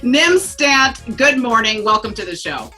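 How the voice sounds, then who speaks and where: Chatty woman hosting a show, highish bright voice with some rasp. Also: big pitch swings, unhurried, soft background music. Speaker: show host. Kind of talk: casual talk show chat.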